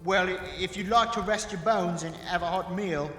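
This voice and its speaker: An actor playing a deferential, evasive army sergeant in a fantasy audio drama, respectful and slightly halting. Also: male voice, high voice, fairly bright tone. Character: deferential soldier sergeant, smooth-talking and evasive. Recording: echoey cavern-like reverb, music behind.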